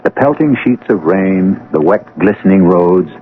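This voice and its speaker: A man hosting and narrating a radio mystery drama, with a measured, dramatic scripted delivery. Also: low-pitched, expressive, slight background noise. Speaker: host and narrator. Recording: muffled, band-limited old radio broadcast.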